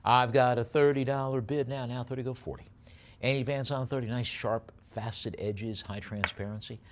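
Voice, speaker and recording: A man's voice: mid-range voice, steady tone, noisy background. A man working as an auctioneer at a live sale, moving between repetitive bid chanting and descriptions of the lots. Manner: rapid repeated bid chant alternating with unhurried item descriptions.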